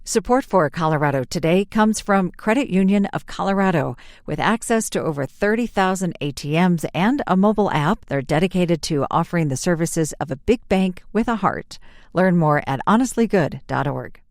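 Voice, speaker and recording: A woman's voice: fairly low pitch, lively intonation, moderate pace, no echo, clean studio sound. A woman announcer reading a scripted sponsor message. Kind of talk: scripted ad read.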